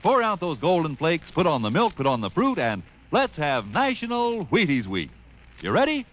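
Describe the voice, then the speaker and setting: A male announcer selling in a 1950s radio commercial. His voice is rather high and swings extremely widely in pitch, with a peppy, folksy delivery. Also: huge pitch swings, moderate pace, fairly bright tone. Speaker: advertisement announcer.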